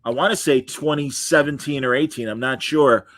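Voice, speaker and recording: A middle-aged man hosts a relaxed interview show. His talk is chatty and informal, with an enthusiastic streak, and his voice sits at an ordinary male pitch in a dry, close recording. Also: normal speed, no room sound. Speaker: podcast host and interviewer. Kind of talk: casual interview chat.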